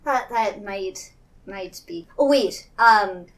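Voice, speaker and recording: A woman player casually improvising in a tabletop roleplaying game, hesitant and halting. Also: mid-range voice, fairly bright tone, far from the mic.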